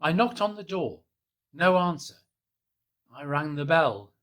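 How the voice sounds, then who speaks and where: Soft-spoken man reading a children's story. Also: on the high side, expressive, measured pace. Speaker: storybook reader. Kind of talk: gentle first-person children's story reading.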